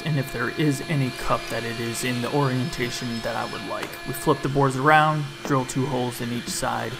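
A man's voice: mid-range voice, noisy background. A man in his thirties, host of a woodworking channel, narrating his build in a voice-over and explaining each step with upbeat enthusiasm for his tools.

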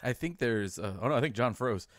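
A man's voice: medium pitch, lively intonation, brisk, a crowd in the background. A man in his thirties chatting casually as a podcast co-host, emphatic, acting out imagined dialogue. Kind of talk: casual chat. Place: small home room, indoors. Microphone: boom-mounted studio mic close up, headset.

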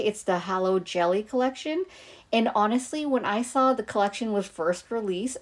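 Hoarse woman, casual nail vlogger voiceover, relaxed chatty delivery, close mic. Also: medium pitch. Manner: chatty, easygoing, thinking aloud. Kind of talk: voiceover for an unboxing and manicure video.

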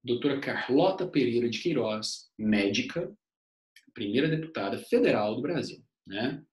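Man, 30s, online history teacher; casual, chatty delivery; webcam audio with room echo. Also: normal speed. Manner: chatty, uses "né?" as a constant tag, animated pitch swings. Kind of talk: online lecture over slides.

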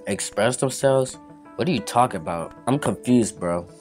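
A man's voice: mid-range voice, animated, moderate pace, darkish tone, soft background music, natural voice. A man voicing a lost, anxious young newcomer in an amateur game roleplay, hesitant and stammering. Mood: confused.

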